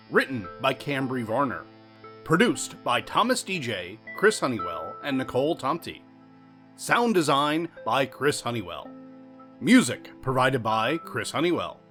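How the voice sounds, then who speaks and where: A man's voice: mid-range voice, fairly bright tone. A man reading scripted closing credits and announcements for an audio drama, as its announcer. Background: guitar music.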